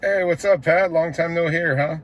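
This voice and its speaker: A man's voice: fairly high pitch, moderate pace. An American man working as a CB radio operator, making station calls and chatting casually in a laid-back, slangy way. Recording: clear background radio noise.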